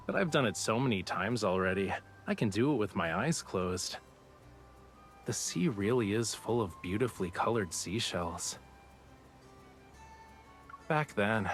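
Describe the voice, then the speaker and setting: A man's voice: lowish voice, expressive, measured pace, fairly bright tone, soft background music. An actor playing a playful, teasing young male artist of the sea folk in a game. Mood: relaxed, explaining. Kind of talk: game voice acting.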